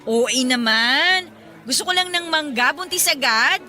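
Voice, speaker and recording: Radio drama role: sarcastic young Filipina, high-pitched, sharp, exasperated, music underneath. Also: female voice, animated, a little slow, exaggerated voice. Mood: defensive. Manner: sassy, exasperated. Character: feisty single young woman, blunt and sarcastic. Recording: music behind the voice.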